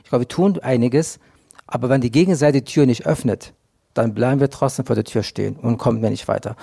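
A man makes an earnest appeal in a panel discussion. His voice is dark and mellow with a gravelly edge. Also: mid-range voice, fairly quick. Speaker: panel guest.

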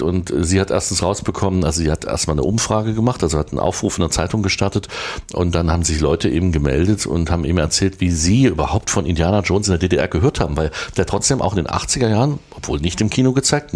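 Low-voiced Thuringian radio host. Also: male voice, a little mellow. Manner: chatty, explaining with restarts and asides. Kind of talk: casual radio chat about film history.

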